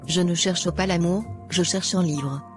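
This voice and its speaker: A woman playing a sincere, polite young student in love in an animated drama. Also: lowish voice, unhurried, lightly acted. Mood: friendly. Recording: music behind the voice.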